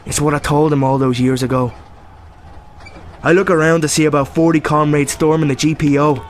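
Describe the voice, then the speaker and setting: An actor playing an eager, proud young Irish rebel volunteer, delivering a radio play monologue. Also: male voice, a little high, fairly even intonation, fairly quick, slight background noise, natural voice. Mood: resolute, excited.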